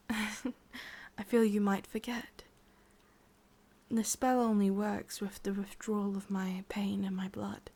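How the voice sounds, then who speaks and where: Breathy whispering English witch, played by a woman. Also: mid-range voice, flat delivery. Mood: sultry, teasing. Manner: soft, intimate whispering, teasing and unhurried. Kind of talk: whispered ASMR roleplay.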